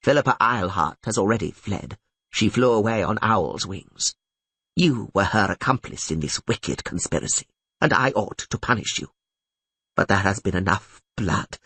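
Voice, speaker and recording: A man's voice: lowish voice, pushed and bright, husky, exaggerated voice. A man voicing an imperious older female archmage in an audiobook, speaking in formal, proclamatory tones. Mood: stern. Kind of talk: audiobook character voice.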